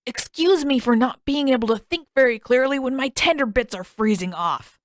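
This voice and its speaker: A woman's voice: high voice, moderate pace, no echo, studio quality, pitched above the performer's own voice, lightly acted. Woman voicing an exasperated, whiny teenage boy. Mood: indignant whining. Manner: indignant, emphatic complaining.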